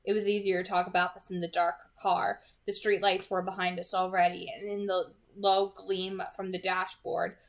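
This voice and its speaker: A woman's voice: lowish voice, level tone, normal speed, few pauses. A woman reading a novel aloud as an amateur audiobook narrator.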